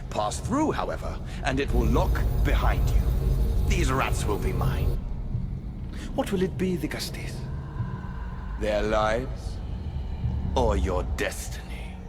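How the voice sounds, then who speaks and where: An actor playing a scheming, menacing immortal male being in a video game cutscene, speaking slowly and temptingly. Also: medium pitch, big pitch swings. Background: game score music.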